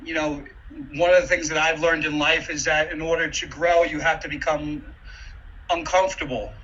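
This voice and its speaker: A man on a video call as a webinar participant, speaking in a measured, thoughtful way with pauses. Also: on the high side, fairly even intonation, bright, forceful tone. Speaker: webinar participant giving a speech. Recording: dull lo-fi call audio, faint room noise.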